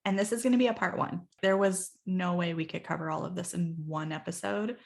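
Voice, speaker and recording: A woman co-hosting a podcast, chatty and quick with frequent laughs, her nose stuffed up from allergies. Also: on the low side, gravelly. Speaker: podcast co-host. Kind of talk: casual chat and informal explainer.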